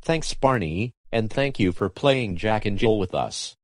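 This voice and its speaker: An earnest young cartoon dinosaur, a big brother played by a man. His pitch moves in big lively swings, and the sound is dry and close. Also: a little slow, no echo. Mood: earnest, playful. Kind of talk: children's cartoon dialogue.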